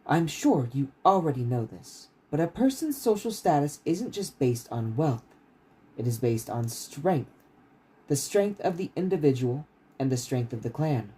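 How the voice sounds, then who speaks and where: A man's voice: a little high, big pitch swings, a little slow, dark tone, husky, poor audio. A man who reads his own fantasy writing aloud like a bedtime story on a casual livestream. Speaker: author reading own novel aloud.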